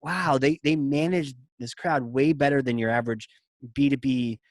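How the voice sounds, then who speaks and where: A man's voice: medium pitch, steady tone, normal speed, no room sound, studio quality. A man hosting a podcast, talking loosely and playfully and rambling with lots of "like". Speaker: podcast host. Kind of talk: casual interview chat.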